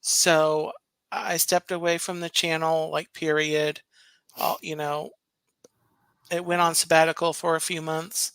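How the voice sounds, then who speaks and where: A soft-spoken man chatting casually on a live stream, unhurried and rambling, with plenty of fillers. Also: fairly high pitch, level tone, smooth, dry recording. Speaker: co-host, stream guest.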